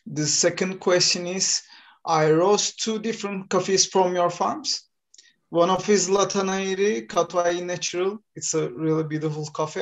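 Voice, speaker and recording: A man from Turkey, a coffee roaster, hosting a live-stream interview and asking questions in careful English with fillers. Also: high-pitched. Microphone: video call.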